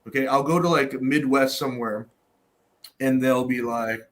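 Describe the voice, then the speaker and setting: A man's voice: medium pitch, steady tone, normal speed. Middle-aged guy, blunt and sweary, lots of "you know" and "right?", just chatting at a roundtable. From Canada. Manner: blunt, profane, storytelling with many fillers. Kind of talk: casual roundtable discussion.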